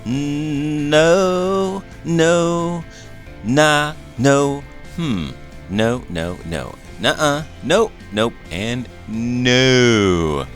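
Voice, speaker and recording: A man's voice: mid-range voice, animated, drawn-out words, soft background music, pitched above the performer's own voice. A man voicing a small, cute quokka from a children's storybook, firmly refusing with exaggerated emphasis. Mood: emphatic refusal.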